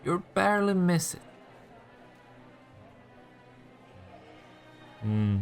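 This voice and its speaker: Man acting a sly, creaky-voiced teasing vampire. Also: sits high, big pitch swings, normal speed, soft background music, full character voice. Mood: coaxing. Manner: sardonic, taunting, playful. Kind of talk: roleplay audio drama, intimate one-sided dialogue.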